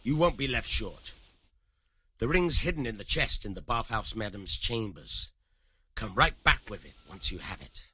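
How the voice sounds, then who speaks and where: An actor playing a cynical, rough-tongued medieval commoner with a husky voice and colloquial, emphatic delivery in a video game. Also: male voice, fairly low pitch, expressive, moderate pace, sharp tone, dry recording, exaggerated voice. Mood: wry, conspiratorial. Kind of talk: video game dialogue acting.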